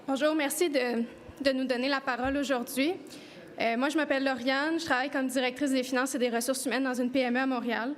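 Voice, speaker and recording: High-voiced Québécoise giving testimony. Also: female voice, fairly even intonation, quick pace. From Quebec. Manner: emotional, earnest. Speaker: press conference speaker. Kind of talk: personal testimony at a press conference.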